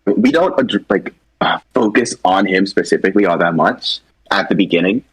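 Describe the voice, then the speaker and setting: A man's voice: deep voice, normal speed, breathy edge, poor audio. A man talking informally and conversationally, co-presenting a creative project in unscripted discussion.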